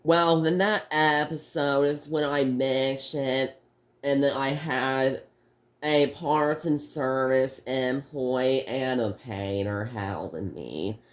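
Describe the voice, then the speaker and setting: Slow-talking male reviewer, sick and slightly off-sounding, rambling and halting. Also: medium pitch. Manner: halting, rambling, frequent restarts. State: sick, voice a little off. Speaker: toy review host. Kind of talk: casual video review.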